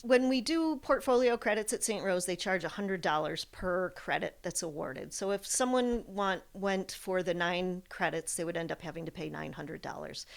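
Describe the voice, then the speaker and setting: A woman explaining her field in an interview, in the manner of a college professor, with animated examples. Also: on the low side, gravelly.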